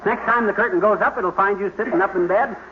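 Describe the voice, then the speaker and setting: An actor playing a blustery, cheerful bumbling husband in a 1950s radio comedy, chummy and boastful. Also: male voice, sits high, fast, natural voice. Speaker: sitcom husband. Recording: hissy lo-fi 1950s radio broadcast.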